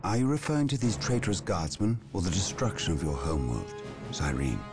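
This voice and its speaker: A wise warrior-priest who reports and counsels with calm gravity. His voice sits extremely low, yet moves with a lively range. Orchestral game music plays under him. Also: brisk. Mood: grave. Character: calm, wise older male battle-priest advisor. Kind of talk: video game cutscene voice acting. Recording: voice over orchestral game score.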